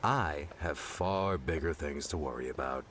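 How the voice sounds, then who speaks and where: A man playing a touchy, guarded young guardian in an audiobook, with an exasperated, halting delivery. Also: very deep voice, fairly bright tone, slight background noise. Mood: sarcastic, irritated. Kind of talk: audiobook character dialogue.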